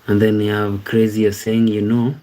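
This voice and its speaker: A Kenyan-accented man hosts a relaxed live stream, greeting viewers and reading their comments back to them. His voice sits low and mellow, with a level, unhurried intonation that rarely rises or falls. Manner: reads viewer comments aloud and thanks them by name; easygoing, repeats words like "amazing, amazing". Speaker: livestream host. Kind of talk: casual live-stream chat.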